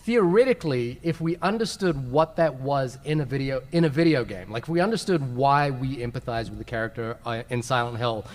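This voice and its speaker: A man's voice: medium pitch, fairly quick, hardly any pauses, a little mellow. A man talking casually and conversationally as a podcast host in a live panel discussion. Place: large indoor convention panel room. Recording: reverberant convention hall.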